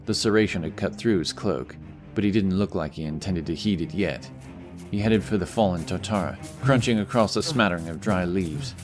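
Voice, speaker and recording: A man's voice: sits low, normal speed. A man reading scripted fantasy narration dramatically, with music playing behind him.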